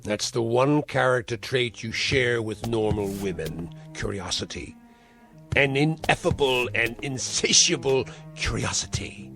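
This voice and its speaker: An actor playing a spiteful, frail old uncle in a vintage television drama, speaking in ornate, sardonic, theatrical phrases. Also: male voice, medium pitch, unhurried, creaky, over music. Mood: sardonic, mocking. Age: older.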